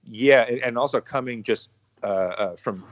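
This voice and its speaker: A man's voice: medium pitch, dry recording. A man, a podcast guest and musician, talking casually with many restarts, chuckles and swear words. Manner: halting, repeats words, chuckles, swears freely. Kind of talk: casual interview talk. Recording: muffled lo-fi sound.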